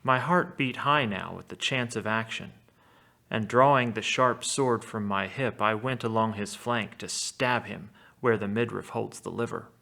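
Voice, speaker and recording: A man with a gravelly voice, a teacher reading a story aloud and commenting on it casually. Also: on the low side, measured pace, sharp tone.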